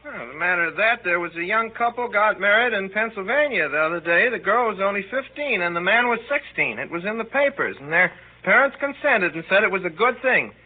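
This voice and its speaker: A man's voice: high-pitched, animated, fairly quick. An actor playing an earnest, know-it-all teenage boy in a 1930s radio comedy, rambling on insistently. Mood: earnest boasting. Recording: muffled old 1930s radio broadcast.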